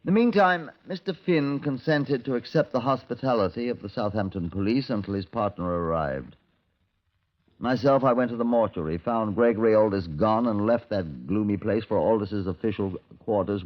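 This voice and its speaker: An actor playing a brisk, commanding British chief inspector in a 1950s radio drama, middle-aged, clipped and authoritative. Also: male voice, lowish voice, lightly acted. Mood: measured narration. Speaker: detective narrator. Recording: dull, lo-fi old radio recording.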